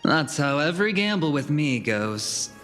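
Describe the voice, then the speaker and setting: A man's voice: fairly high pitch, big pitch swings, a little slow, fairly bright tone. A man voicing a smug, self-assured gambler in a video game, with a theatrical, taunting delivery. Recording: long reverb, music underneath.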